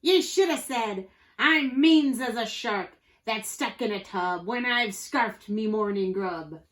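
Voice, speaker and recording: A woman voicing a gruff, short-tempered male pirate captain in a children's storybook, bellowing in folksy pirate slang. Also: on the high side, expressive, moderate pace, close to an everyday voice. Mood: scolding.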